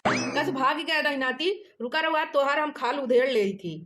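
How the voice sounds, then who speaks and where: A woman plays an exasperated village mother from a comedy cartoon. Her voice is high, with a rustic eastern Uttar Pradesh accent. She scolds and threatens with a sharp tongue. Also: normal speed. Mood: threatening. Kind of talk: comic cartoon dialogue.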